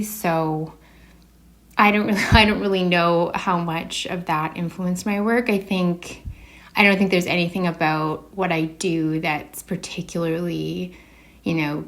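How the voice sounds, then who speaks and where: A woman with a Canadian accent giving casual, unscripted interview answers, a bit hesitant as she thinks aloud. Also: fairly low pitch. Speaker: artist being interviewed.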